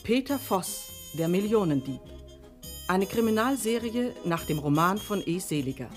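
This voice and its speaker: Animated woman announcer over music. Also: medium pitch, normal speed. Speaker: radio serial title announcer. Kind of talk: dramatic scripted title announcement.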